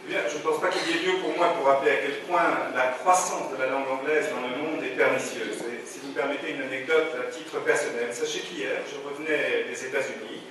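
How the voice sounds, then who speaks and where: Interpreter man in boomy hall. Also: fairly even intonation. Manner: fluent, fast-flowing long sentences. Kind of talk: consecutive interpretation. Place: conference hall. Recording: echoey hall from far off, background noise.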